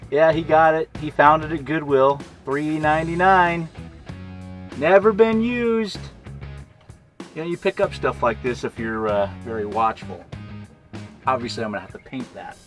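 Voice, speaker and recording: A middle-aged American man narrating a hands-on vlog in a casual, unhurried way, thinking aloud as he goes. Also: fairly high pitch, steady tone, soft background music. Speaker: vlogger showing a vehicle radio installation.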